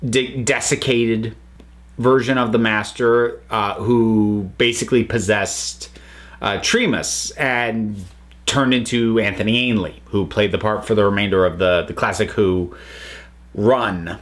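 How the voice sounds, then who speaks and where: A man's voice: fairly low pitch, fairly even intonation, unhurried. A man hosting a casual spoken review, rambling along with many fillers and restarts. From United States. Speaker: host reviewing audio dramas.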